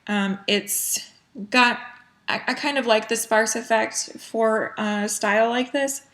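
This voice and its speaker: A woman's voice: fairly high pitch, takes it slowly, a bit bright. A woman chatting casually as a cross-stitch vlogger, a little sniffly from a cold, with lots of ums and small laughs. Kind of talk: casual chat.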